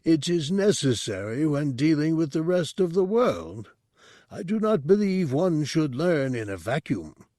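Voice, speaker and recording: A man voicing an ancient disembodied monk's brain, a deep, formal, serene teacher with a bemused manner. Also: very animated, measured pace, dark tone, no echo, clean studio sound. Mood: measured, scholarly.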